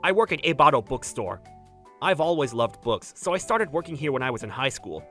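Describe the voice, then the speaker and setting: A woman's voice: rumbling low, big pitch swings, fairly quick, sharp tone, soft background music, heavily acted. A woman voicing an earnest, easily flustered man in his thirties, narrating a romantic comedy manga dub. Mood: matter-of-fact. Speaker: voice actor narrating and playing the male lead.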